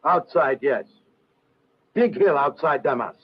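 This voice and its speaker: An actor playing a loyal Middle Eastern helper who speaks terse broken English in an old radio drama. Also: male voice, fairly high pitch, animated, slightly rough, muffled recording, full character voice. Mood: earnest, helpful. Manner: halting, terse, pidgin phrases.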